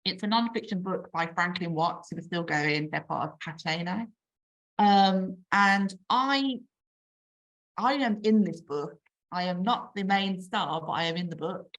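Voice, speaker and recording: A woman's voice: lowish voice, unhurried, fairly bright tone, highs cut off. A woman in her forties with a Birmingham accent, chatting casually as an author on a video call, with frequent ums and restarts. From England. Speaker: children's author. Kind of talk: casual online talk.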